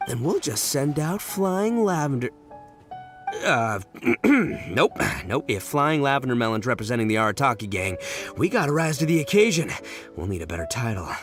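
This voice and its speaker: A man's voice: mid-range voice, very animated, normal speed, fairly bright tone. A man playing a brash, goofy young gang boss in a video game, boastful and theatrical. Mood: defiant. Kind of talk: video game character acting. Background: music.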